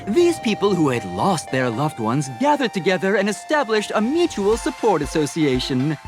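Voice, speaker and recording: A gushing, pious recruiter for a smiling cult, voiced by a woman in a game, her lively pitch rising and falling as she preaches. Her sugary, theatrical sincerity never lets up. Game music plays clearly beneath her. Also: close to an everyday voice. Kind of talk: game dialogue voice acting. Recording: studio voice with game music behind.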